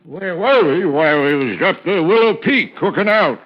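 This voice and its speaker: A folksy older foreman runs a country lodge, talking in a rustic drawl that sits a touch high. His chatter comes through the dull, muffled sound of an old radio broadcast. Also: lively intonation, normal speed. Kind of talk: 1950s radio drama acting.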